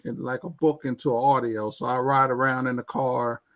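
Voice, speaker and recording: A man's voice: mid-range voice, little pitch movement, normal speed, fairly bright tone. A man talking as a guest in a casual online chat, stammering with many restarts. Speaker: guest IT professional.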